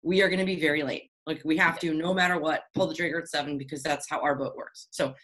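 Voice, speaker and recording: A woman's voice: sits low, fairly even intonation, quick pace, fairly bright tone. A young adult woman, an Olympic sailor, chatting casually and encouragingly with youth sailors over a video call. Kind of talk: casual online Q&A.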